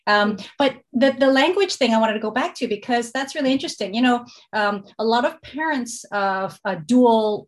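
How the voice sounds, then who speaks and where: Higher-voiced woman host with laughs. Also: animated, normal speed, few pauses. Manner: reads bio, then chats warmly with laughs. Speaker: podcast host. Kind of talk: interview hosting.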